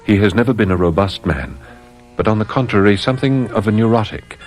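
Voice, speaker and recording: Man acting a low-voiced, cautious loyal physician. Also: animated, faint music behind, lightly acted. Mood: earnest refusal. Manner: earnest, measured, admonishing. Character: cautious, loyal physician, reasoning with his friend. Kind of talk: radio drama acting.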